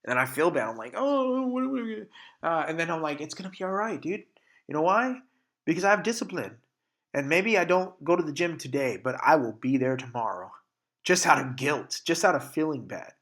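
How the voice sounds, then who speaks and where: A man's voice: on the high side, lively intonation, fairly quick, fairly bright tone. A young man hosting a solo podcast, talking candidly with frequent profanity and restarts, congested and sniffling from allergies. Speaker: podcast host. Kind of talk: casual solo podcast monologue.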